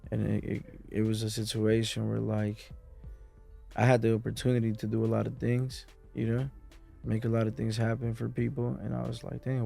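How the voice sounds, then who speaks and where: A man's voice: fairly low pitch, vocal fry, warm tone. A man who grew up in Mexico City, a music producer talking as a guest in a casual sit-down interview, full of street slang and "you know" asides.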